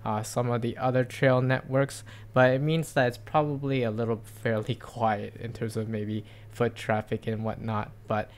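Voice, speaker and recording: A man's voice: medium pitch, flat delivery, moderate pace, few pauses. Chatty man narrating a bike ride. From Seattle area. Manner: rambling, many fillers and restarts, upbeat. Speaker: cycling vlogger. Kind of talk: casual narration while riding. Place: outdoors on a bike trail, riding. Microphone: camera mounted on bike handlebars.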